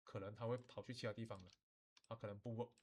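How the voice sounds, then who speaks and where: A man gives an informal, step-by-step software tutorial to students, switching between languages and often checking that they follow. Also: lowish voice, fairly even intonation, quick pace. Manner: switches between Mandarin and English, repeating explanations. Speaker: animation software instructor.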